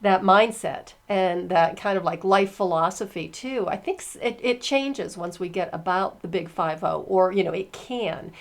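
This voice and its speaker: A woman's voice: lowish voice, normal speed, hardly any pauses. Woman in her sixties, podcast host, emphatic and encouraging, casual conversational delivery. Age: 60s. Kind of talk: casual interview chat.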